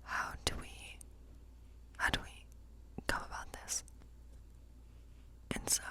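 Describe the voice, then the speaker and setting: Casting: woman to play a kindly cottage witch for ASMR fantasy role play, all in breathy whisper, gentle and musing. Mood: gentle, pondering. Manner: slow, gentle, musing, often repeating phrases. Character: kindly woodland witch reading tarot for a guest. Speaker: ASMR performer.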